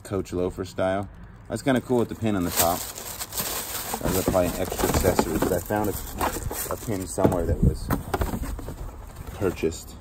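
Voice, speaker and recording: A man's voice: low voice, fairly even intonation, normal speed, long pauses. A man chatting casually to viewers while unboxing shoes outdoors, filming first-person. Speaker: storage auction vlogger. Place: outdoors by a cardboard box. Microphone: handheld camera, first-person view. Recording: loud outdoor background noise.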